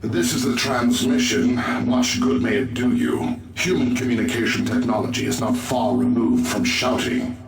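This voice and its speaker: A man's voice: mid-range voice, moderate pace, close to an everyday voice. An actor playing an earnest, resolute male elf warrior in a video game, with formal and solemn speech. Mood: dry disdain. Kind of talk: scripted video game acting. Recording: echoey, distant, music behind.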